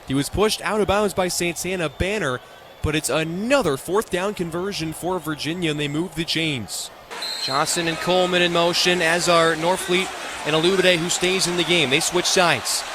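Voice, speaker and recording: A young American man calling live football on the radio as play-by-play announcer, in punchy, clipped, excitable phrases. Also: fairly high pitch, normal speed. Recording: live stadium ambience noise.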